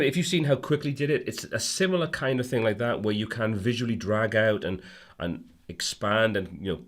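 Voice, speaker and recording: Host type: hoarse man with a cold, lowish voice, casual rambling livestream chat. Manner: rambling, friendly, many fillers and restarts. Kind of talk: casual livestream chat reading viewer comments.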